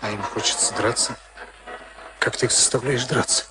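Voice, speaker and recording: An actor playing a haunted former camp prisoner turned boxer, slow and brooding in a Soviet radio play. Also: male voice, mid-range voice, fairly even intonation, lightly acted. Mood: questioning. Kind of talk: Soviet radio play acting, dramatic monologue and dialogue. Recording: archival radio play, loud music underneath. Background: music.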